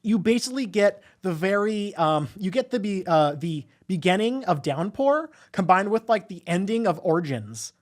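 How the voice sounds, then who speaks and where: A man's voice: high-pitched, lively intonation, normal speed, hardly any pauses. A man hosting a podcast, casually discussing films in a rambling way with frequent filler phrases and hesitations. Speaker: podcast host reviewing films.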